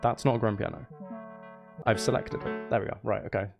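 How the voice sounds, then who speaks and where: A man's voice: on the low side, steady tone, brisk, gravelly. A man chatting casually on a live stream as he makes music, geeky and playful, thinking aloud in a rambling way.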